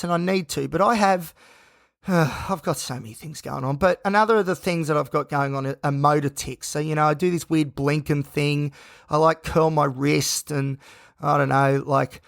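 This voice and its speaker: A man hosting a solo podcast, talking in a rambling, tired, self-reflective way with a croaky, hoarse voice. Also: on the high side, steady tone, moderate pace, a bit bright, no room sound, clean studio sound. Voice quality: gravelly. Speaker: podcast host.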